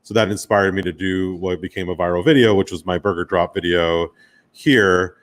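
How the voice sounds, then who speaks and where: A deep-voiced American man presents his own work to an audience online or at a conference. He talks informally and loosely, as if in conversation, with a low pitch throughout. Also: fairly even intonation, normal speed. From Miami, United States. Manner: conversational, casual, loosely phrased. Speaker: guest speaker, commercial photographer and director.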